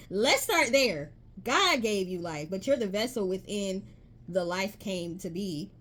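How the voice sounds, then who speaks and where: A woman's voice: medium pitch, big pitch swings, takes it slowly, fairly bright tone. A woman giving a casual tarot reading to her viewers, chatty and emphatic, reading card messages aloud. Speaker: tarot reader.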